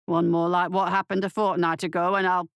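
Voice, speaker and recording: Woman putting on a gruff, menacing male orderly. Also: lowish voice, flat delivery, a little fast, no room sound, clean studio sound. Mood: threatening.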